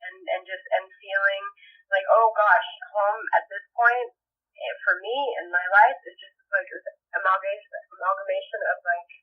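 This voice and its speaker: A woman from Puerto Rico, an actress guest, talking thoughtfully with many halting restarts in a podcast interview. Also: medium pitch, fairly even intonation. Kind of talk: podcast interview over the phone. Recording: muffled phone line, far from the mic.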